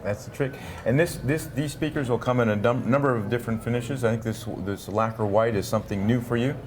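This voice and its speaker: A man's voice: medium pitch, fairly quick, a little hiss or hum. A man working as an interviewer at a trade show, polite and presenter-like, with a busy crowd around him. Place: audio trade show in Milan.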